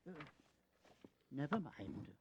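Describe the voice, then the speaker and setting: Genial, fussy elder statesman, slow, hesitant, very animated, for historical audio drama. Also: mid-range voice, muffled recording. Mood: mildly fussy. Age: older. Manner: hesitant, drawn-out, gently pedantic.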